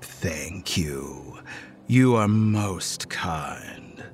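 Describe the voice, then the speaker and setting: A man playing a menacing, courteous inhuman being in a horror audio drama, speaking formally in a breathy whisper. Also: deep voice, expressive, a little hiss or hum, exaggerated voice. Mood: quietly grateful. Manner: formal, slow and deliberate.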